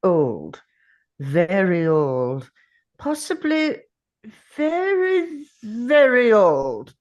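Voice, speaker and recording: A woman playing an ancient, defiant, sardonic male time traveller in a dramatic script table read, speaking theatrically with deliberate pauses. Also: medium pitch, wildly swooping pitch, darkish tone, highs cut off, exaggerated voice. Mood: brooding, uneasy.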